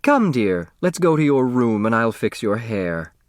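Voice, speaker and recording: A fussy, status-minded storybook mother played by a male reader. The speech is falsely sweet and coaxing, with lively swings of pitch, in a dry, close recording. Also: measured pace, no room sound. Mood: sweetly coaxing.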